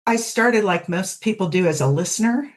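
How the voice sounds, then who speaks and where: A woman's voice: medium pitch, animated, moderate pace. A middle-aged woman chatting casually as an interview guest, explaining things in a reflective way. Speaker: guest podfic narrator.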